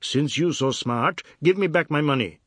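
Storybook bully, done by a male reader: fat labourer, sneering, short-tempered, raised pitch, dry sound. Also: lively intonation, moderate pace, fairly bright tone, dry recording. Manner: taunting, creole grammar.

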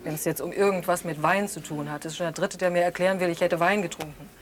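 A woman's voice: on the low side, fairly even intonation, fast, crowd noise, natural voice. An actress playing a defensive witness in a naturalistic audio drama, curt, insistent and matter-of-fact as she corrects her questioner.

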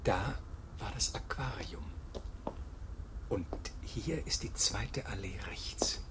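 An actor playing a nervous, apologetic blackmailer in a radio play, muttering anxiously to himself. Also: male voice, lowish voice, expressive, slow, whispered. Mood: anxious, whispering. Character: nervous, apologetic amateur blackmailer, devoted to his mother. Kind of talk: 1970s German radio play acting. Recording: 1970s radio drama, noisy ambience.

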